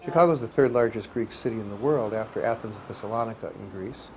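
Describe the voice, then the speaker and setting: A man's voice: fairly low pitch, quick pace, soft background music. Man explaining, dull lo-fi sound. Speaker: interviewee on Orthodox church life. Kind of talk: explanatory interview talk. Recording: dull, lo-fi interview audio.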